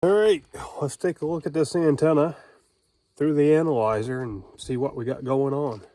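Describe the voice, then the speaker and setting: A man's voice: on the high side, normal speed, mellow, no room sound. A man talking casually and informally in a folksy way, explaining things as he goes. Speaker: homesteader and amateur radio operator vlogging.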